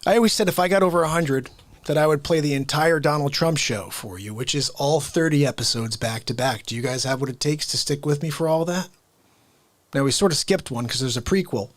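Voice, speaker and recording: A man with a slightly high voice chats with his livestream audience and greets people in the chat by name. He sounds upbeat, and his voice is dry and close with no room sound. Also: a little fast, dry recording. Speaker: livestream host. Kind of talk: chatting with viewers.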